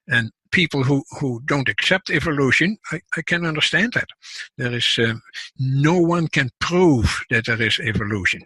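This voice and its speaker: An older man with a Dutch accent, explaining his views as an interview guest in a measured way with frequent restarts. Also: mid-range voice, bright, forceful tone, husky, no echo, low-quality recording. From Netherlands. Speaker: guest scientist and author. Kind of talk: casual interview talk.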